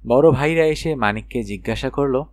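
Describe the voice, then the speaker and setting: A man's voice: mid-range voice, normal speed, no room sound. Male storyteller in a dry recording. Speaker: audiobook narrator. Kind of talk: expressive children's fairy-tale narration.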